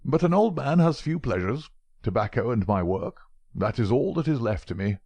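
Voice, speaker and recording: A fussy, frail old scholar with a mincing, carefully chosen way of speaking, as a man puts him on. His voice sits well above the reader's own and pushes out louder and more insistent. It has a dry, close sound. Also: medium pitch, sing-song, normal speed, vocal fry, dry recording, voice pushed up. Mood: fussy, lamenting. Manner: mincing, precise speech. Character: frail old male professor, fussy, chain-smoking.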